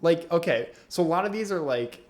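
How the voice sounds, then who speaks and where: A man's voice: a little high, expressive, brisk, darkish tone. A young man co-hosting a podcast, talking casually and animatedly, laughing often and acting out quotes. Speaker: podcast co-host. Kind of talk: casual chat.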